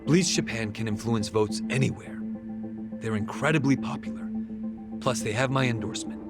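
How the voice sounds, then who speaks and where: An actor playing a cold, ambitious younger politician in an English game dub, measured, commanding and condescending, with threat in his manner. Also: medium pitch, close to an everyday voice. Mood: calm, calculating. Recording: loud background music.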